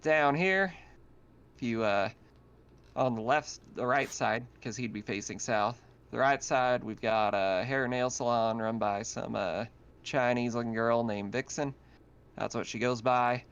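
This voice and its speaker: A man's voice: mid-range voice, measured pace, fairly bright tone, clean tone. A man working as game master, informally narrating a tabletop roleplaying game over an online call. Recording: slightly dull online voice-call audio.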